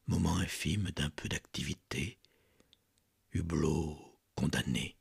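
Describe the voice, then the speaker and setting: A man giving a dramatic poetry reading in a husky, breathy, gravelly voice, theatrically swinging between hushed murmurs and forceful swells. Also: rumbling low, no echo, clean studio sound. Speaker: poetry reader.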